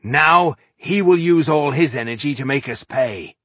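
A man's voice: a little high, lively intonation, measured pace, a bit bright, dry recording, pitched above the performer's own voice. A man voicing a wrathful, dangerous dwarf commander in a fantasy audiobook, snarling and emphatic. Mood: furious.

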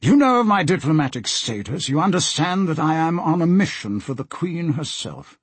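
A man's voice: a little high, very animated, moderate pace, breathy edge, no echo, pitched above the performer's own voice. A man voicing a tall, intense diplomat in a storybook, formal, urgent and insistent. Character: tall, intense, impatient male diplomat on secret mission.

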